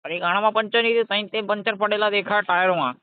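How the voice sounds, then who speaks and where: A man's voice: high voice, steady tone, very fast, smooth, no echo, natural voice. A man playing an exasperated, foul-mouthed garage mechanic, scolding impatiently in a scripted comedy phone call. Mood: angry, swearing. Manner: scolding, impatient, swearing constantly. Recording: dull lo-fi phone call recording.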